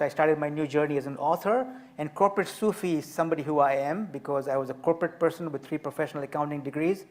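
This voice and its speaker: A man in his fifties, from Tanzania, telling his story as an author and speaker on a festival panel, measured and emphatic. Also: fairly high pitch, a little mellow. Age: 50s.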